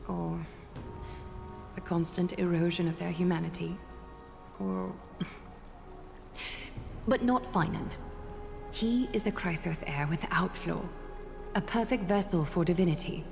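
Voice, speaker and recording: A woman voicing a wise, dignified female leader in a video game, speaking in calm, grave, measured phrases. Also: lowish voice. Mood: grave, composed. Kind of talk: English video game voice acting. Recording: long reverb, clear music behind.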